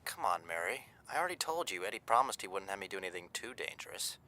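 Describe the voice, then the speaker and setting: Man playing an earnest, sharp-voiced young tough. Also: lowish voice, sing-song, fast. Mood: reassuring, earnest. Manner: plain-spoken, earnest, pleading. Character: tough, earnest young male hired thug, devoted expectant father. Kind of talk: 1930s gangster radio drama acting.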